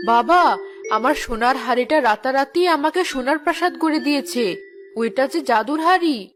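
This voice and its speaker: A woman's voice: sits high, animated, moderate pace, over music. A woman voicing a boastful, greedy eldest village sister in a children's cartoon, exclaiming and bossy. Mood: excited, proud.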